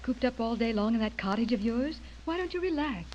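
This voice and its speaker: A woman's voice: a little high, animated, a little fast, a little mellow, natural voice. A woman playing a writer's admiring, concerned friend in an old-time radio drama. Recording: muffled old radio, faint background noise.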